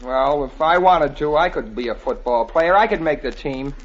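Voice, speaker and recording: A man's voice: fairly high pitch, animated, normal speed. An actor playing a bashful, skinny American college boy in an old-time radio comedy, earnest, awkward and stammering. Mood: defiant. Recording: dull vintage radio recording with audience.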